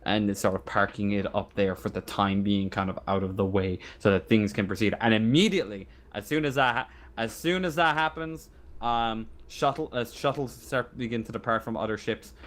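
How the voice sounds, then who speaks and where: A man improvising narration as game master of a tabletop roleplaying game, speaking informally and haltingly. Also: fairly low pitch.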